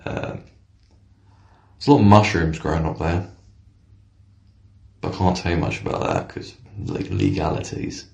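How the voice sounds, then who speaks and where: A man playing a hesitant, matter-of-fact tradesman in a deadpan comic monologue, with a gravelly voice. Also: low voice, measured pace, long pauses, echoey room.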